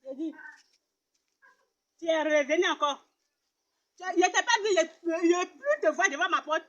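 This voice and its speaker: A woman's voice: very high voice, expressive, moderate pace, sharp tone, highs cut off, full character voice. A woman playing a poor, fiery young woman who scornfully turns away a suitor, her emotional voice often breaking into sobbing laughter.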